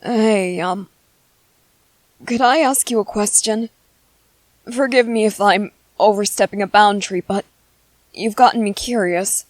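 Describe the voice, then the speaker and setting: A woman voicing a gentle, clever young male soldier in an ASMR roleplay, speaking softly and politely with hesitant ums. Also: mid-range voice, measured pace, pauses often, dry recording, lightly acted. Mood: calm, reassuring.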